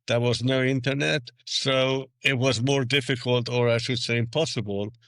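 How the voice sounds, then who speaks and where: Sixties male guest from Hungary, earnest explainer, smooth even voice. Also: mid-range voice, moderate pace, no room sound. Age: 60s. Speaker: podcast guest. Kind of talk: interview answers.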